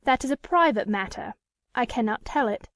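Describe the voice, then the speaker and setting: Woman performing a defiant lady, very high voice, formal, indignant, emphatic, audiobook drama, dry recording. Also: lively intonation, normal speed, no echo, natural voice. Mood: guarded, defiant. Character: proud, defiant woman with an unhappy secret past. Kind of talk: dramatic audiobook character reading.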